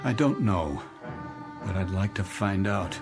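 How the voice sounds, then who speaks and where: Low, weary middle-aged American executive. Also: male voice, lively intonation. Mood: wistful, dreamy. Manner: halting, repeats himself wistfully. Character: weary, disillusioned married male executive, dreamy and self-deprecating. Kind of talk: radio drama acting. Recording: music bed under the dialogue.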